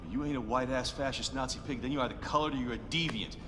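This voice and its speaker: An actor playing a paranoid, excitable resistance fighter in a video game, with jumpy, slangy, repetitive speech. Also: male voice, medium pitch, fast talker, background noise, close to an everyday voice. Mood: agitated suspicion. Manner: jumpy, slangy, repeats himself. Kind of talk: video game cutscene acting.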